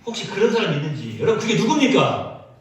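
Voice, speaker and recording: Male pastor, expressive sermon delivery, slightly high voice, heard from a distance in a reverberant church. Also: fast talker. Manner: animated, storytelling with acted quotes. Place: church sanctuary. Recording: echoey church sound from a distance.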